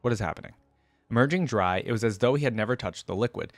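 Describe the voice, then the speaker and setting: A man hosting a reaction video, reading a fantasy story aloud in an expressive style with casual asides. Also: mid-range voice, quick pace, dry recording.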